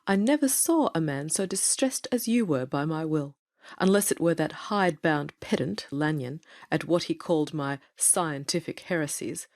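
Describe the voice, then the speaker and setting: A genial Victorian doctor of fifty, played by a woman speaks in a low register, close and dry. The delivery is airy, condescending and opinionated, in audiobook drama style. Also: lively intonation, normal speed, darkish tone, no room sound. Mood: gaily dismissive. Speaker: audiobook cast reader. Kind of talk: dramatic reading of a Victorian novel.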